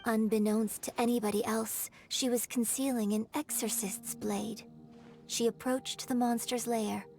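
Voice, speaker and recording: Casting: poised young female opera performer for a game, lightly creaky higher voice, theatrical phrasing, music beneath. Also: a little mellow. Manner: measured, eloquent, theatrical storytelling. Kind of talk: video game character acting. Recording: background music.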